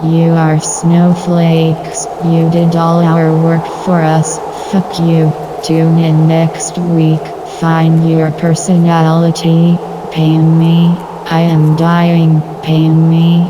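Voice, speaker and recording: A woman delivering a deadpan comic sign-off in short, flat sentences. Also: low-pitched, slow, few pauses, noisy background.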